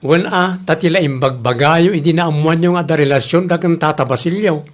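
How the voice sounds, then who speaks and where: A blunt grown child nagging a parent, voiced by an actor in an Ilocano comedy drama. The voice sits at a medium pitch and has an Ilocano accent. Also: fairly quick, close to an everyday voice. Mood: scolding. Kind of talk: Ilocano comedy radio-style drama acting.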